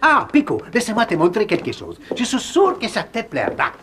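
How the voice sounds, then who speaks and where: An actor voicing an excitable, scatterbrained male explorer who thinks himself a genius, in a theatrical cartoon manner. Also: medium pitch, moderate pace, slight background noise. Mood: excited, scheming. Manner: theatrical, swooping from muttering to exclaiming. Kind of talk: animated cartoon dub.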